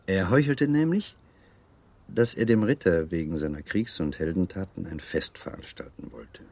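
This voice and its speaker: A suave officer and charmer in a radio drama. His low, husky voice rises and falls widely as he savours every phrase with theatrical, teasing gallantry. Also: expressive, moderate pace, darkish tone, dry recording. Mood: suave, dramatic storytelling. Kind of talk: German radio play acting.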